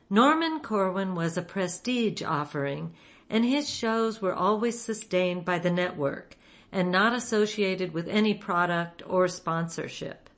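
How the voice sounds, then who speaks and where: Woman, radio host, scripted introduction, mid-range voice. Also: medium pitch.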